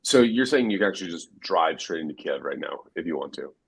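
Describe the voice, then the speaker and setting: A man with a very low, husky voice hosts the stream. He talks in a relaxed, conversational way, pressing his guest with direct follow-up questions. Between questions he walks viewers step by step through how to donate. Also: fast. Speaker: host. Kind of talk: live-stream interview and appeal for donations.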